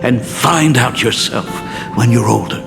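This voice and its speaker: A man's voice: medium pitch, a little slow, vocal fry. A man voicing an affectionate middle-aged father in a video game, talking tenderly and playfully to his young daughter. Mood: tender, wistful. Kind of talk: dramatic video game acting. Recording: long reverb, music behind.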